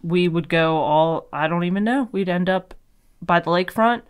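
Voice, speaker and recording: A woman from Maryland chatting on a podcast, telling rambling stories in a relaxed, chatty way. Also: fairly low pitch, moderate pace, smooth. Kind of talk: casual podcast chat.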